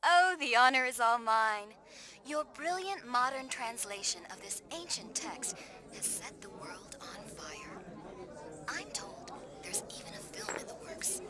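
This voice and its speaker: Theatrical, swooping TV hostess. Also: female voice, fairly high pitch, lively intonation, measured pace, a crowd in the background. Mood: gushing. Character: theatrical, gushing female occult TV show host. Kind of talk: video game voice acting, TV presenting.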